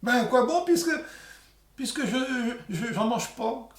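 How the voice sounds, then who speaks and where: A man's voice: very high-pitched, lively intonation, slow, roomy sound, voice pushed up, full character voice. A man voicing a naive, plucky twelve-year-old farm boy in a folk tale, exclaiming and stammering. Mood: naive, hesitant.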